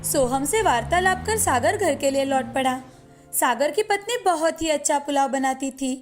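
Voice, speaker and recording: A woman narrates a moral cartoon tale in a steady, scripted storytelling manner. Her voice sits high, and faint music plays beneath her. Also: normal speed.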